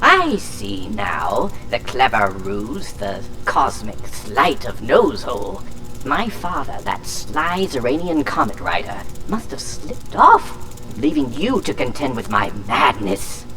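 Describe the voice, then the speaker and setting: Manic, wildly swooping alien shard. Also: female voice, rumbling low, wildly swooping pitch, takes it slowly, sharp tone, a little hiss or hum, full character voice, rough recording. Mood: scheming, rambling. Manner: grandiose, babbling, theatrical insults. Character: manic, rambling sentient shard with a crazy voice. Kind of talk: full cast sci-fi audio drama.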